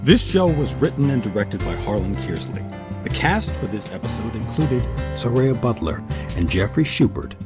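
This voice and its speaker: A man hosting and narrating a scripted history documentary in a measured, solemn manner. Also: medium pitch, big pitch swings, music in the background. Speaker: host and narrator.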